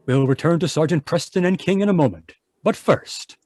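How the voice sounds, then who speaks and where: A man's voice: a little high, moderate pace, a little mellow, no echo, clean studio sound. A man narrating an old-time radio adventure as a dramatic storyteller.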